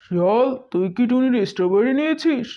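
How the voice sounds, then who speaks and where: A woman's voice: fairly high pitch, animated, a little fast, slight background noise, natural voice. A woman voicing a commanding male tiger who acts as the jungle's stern judge in a children's cartoon. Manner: authoritative, questioning. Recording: dull lo-fi sound, faint music.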